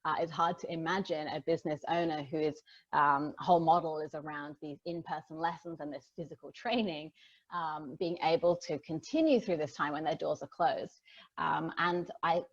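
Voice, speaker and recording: A woman, hosting a casual conversation and talking thoughtfully with hesitant restarts. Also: low-pitched. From Australia. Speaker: host, digital marketer. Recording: dull lo-fi sound.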